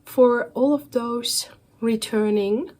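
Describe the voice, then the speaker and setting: A woman in her forties, recovering from a cold so her voice sounds a little off, chatting in a relaxed, rambling way with fillers and self-corrections as a cross-stitch vlogger. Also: a little high, slow pace. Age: 40s. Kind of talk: casual chatty vlog monologue.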